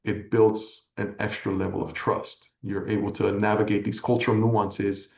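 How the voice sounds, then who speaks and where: A man from California whose first language is Spanish, giving earnest, measured, reflective answers as an interview guest. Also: on the low side, level tone, creaky, darkish tone. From California, United States. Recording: echoey room, slightly dull audio.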